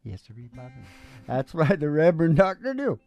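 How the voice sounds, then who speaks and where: Older guy joking around on stage, highish voice, folksy, guitar behind him. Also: big pitch swings, moderate pace, a little mellow, dull audio. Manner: teasing, folksy. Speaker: musician and show host. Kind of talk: casual joking stage banter. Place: small curtained indoor stage. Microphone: stage microphone on a stand. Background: acoustic guitar.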